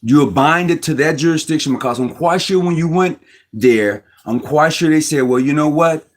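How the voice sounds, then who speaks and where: A man speaking as host of an informal talk, pressing his view with emphasis. His voice sits a touch high, and he stumbles and restarts words as he reasons aloud. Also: moderate pace. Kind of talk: casual Masonic discussion.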